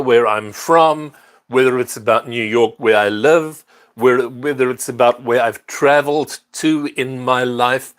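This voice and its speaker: Older South African-born man, livestream host, earnest and unhurried delivery. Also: mid-range voice. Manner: unhurried, earnest, warm greetings to viewers. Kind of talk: casual livestream chat with viewers.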